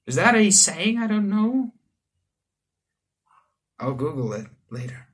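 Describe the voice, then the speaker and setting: A man in his late teens hosting a let's play, reading visual novel text aloud and breaking into animated asides. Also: high-pitched, slow, breathy edge. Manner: animated asides, exclaiming between read passages. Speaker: gaming channel host. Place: small room indoors at home.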